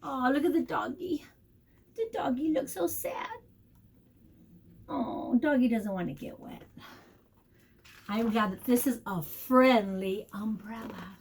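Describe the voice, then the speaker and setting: A woman's voice: fairly high pitch, slow, vocal fry, long pauses, a little mellow, a little hiss or hum. A woman teacher leading a storytime and vocabulary lesson for young children, speaking expressively and encouragingly, with questions to her listeners and key words stretched out. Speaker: preschool teacher reading a picture book aloud.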